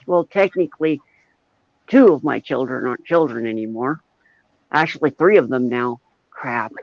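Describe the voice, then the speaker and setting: A man's voice: medium pitch, normal speed. A middle-aged man chatting casually on a live show in a self-deprecating, rambling way. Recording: dull lo-fi audio with roomy echo.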